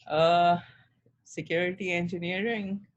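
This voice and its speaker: A man's voice: high-pitched, steady tone, a little slow, dull audio. A man from India taking part in an online workshop over a video call, hesitant with fillers. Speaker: workshop participant.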